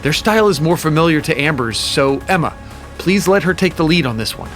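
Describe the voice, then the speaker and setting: A man's voice: on the high side, expressive, a little fast, fairly bright tone, soft background music. A man playing a domineering, calculating boss in an audio drama, with a commanding, dramatic delivery. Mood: businesslike. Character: domineering, calculating male boss, easily exasperated.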